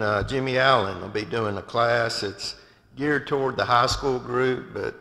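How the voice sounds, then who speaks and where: An older man standing at a lectern in an empty church sanctuary, giving announcements and then leading a prayer in a halting way, with many restarts. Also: mid-range voice, sharp tone. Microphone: far camera from above, speaking at a lectern. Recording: long hall reverb from a large sanctuary.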